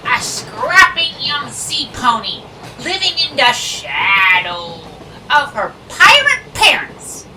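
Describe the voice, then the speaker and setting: Excitable bookish girl pony, cartoon delivery, very high voice, unhurried pace, dramatic emphasis. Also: exaggerated voice. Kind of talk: animated cartoon voice acting. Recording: distant mic with faint noise.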